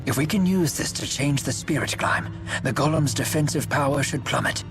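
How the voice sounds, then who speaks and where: An actor playing a courteous, wise older male steward and strategist in a game, speaking formally and with measured care. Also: a little high, natural voice. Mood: calm, wry. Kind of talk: English game dub acting. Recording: game soundtrack music behind the voice. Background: background music.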